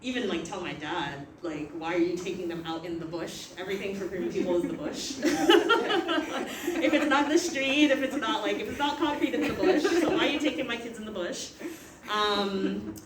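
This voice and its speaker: Soft-spoken woman, echoey and distant. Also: mid-range voice, normal speed. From Canada. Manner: reflective, laughs often. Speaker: panel moderator. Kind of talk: casual panel discussion. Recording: echoey room, far from mic, noisy.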